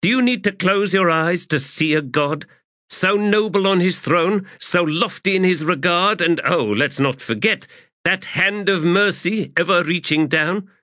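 A man's voice: mid-range voice, big pitch swings, slow, fairly bright tone, no room sound, clean studio sound, voice pushed up. A man reading aloud voices a stern woman commander who speaks harshly and presses hard questions. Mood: harsh, demanding. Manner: harsh, rising to fierce questioning.

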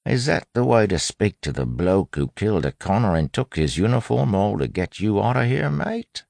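A man voicing a sly impostor posing as a street-tough gang mate, hushed, slangy and conspiratorial, in an audiobook. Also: lowish voice, sing-song, slow pace, husky, dry recording, pitched above the performer's own voice, heavily acted. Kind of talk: audiobook character acting.

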